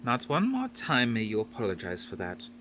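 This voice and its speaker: A man's voice: mid-range voice, wildly swooping pitch, normal speed, sharp tone, slight background noise. A man reading an audiobook, voicing a stately grandmother near seventy with a faint Dutch accent who speaks firmly and formally. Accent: faint Dutch, as put on. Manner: firm, soothing, formal phrasing.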